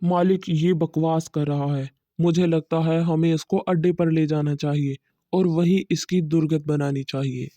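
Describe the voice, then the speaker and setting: A greedy cartoon bandit, acted out by a woman, bossy and full of menace. The tone is dark and mellow, recorded very dry. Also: low voice, fairly even intonation, unhurried, dry recording, voice pushed down. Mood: angry threats.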